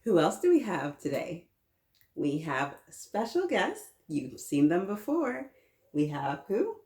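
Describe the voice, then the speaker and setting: A woman's voice: lowish voice, huge pitch swings, slow, dark tone. A woman in her thirties hosting a children's story time, reading aloud and encouraging the children with many questions. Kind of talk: read-aloud storytelling with children, chatting along. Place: small children's playroom, indoors. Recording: roomy echo, mic at a little distance.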